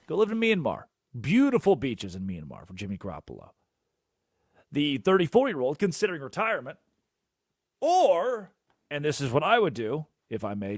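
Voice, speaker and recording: A young man hosting a sports radio show, animated and jokey, rambling through asides in a studio. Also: fairly high pitch, normal speed, dry recording. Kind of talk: energetic sports talk radio. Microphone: studio microphone.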